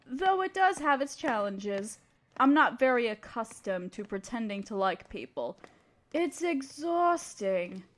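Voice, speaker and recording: A woman playing a haughty, scheming evil queen in an audio drama, speaking in an imperious, theatrical, condescending way. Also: a little high. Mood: haughty, grudging. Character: scheming evil queen, haughty tyrant gloating to her advisor. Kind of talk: scripted roleplay audio drama monologue.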